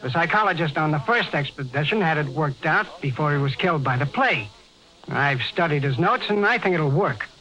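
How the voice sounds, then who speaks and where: A man's voice: on the high side, animated, fairly quick, bright, forceful tone. A man playing a deferential, earnest expedition translator in a 1950s radio drama, speaking respectfully. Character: eager, deferential young expedition crewman and translator. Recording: dull vintage radio recording, music behind.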